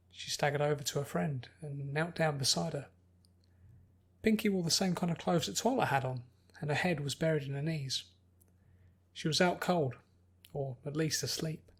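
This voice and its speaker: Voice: mid-range voice, slightly rough. A narrator reading scripted fiction as an audiobook.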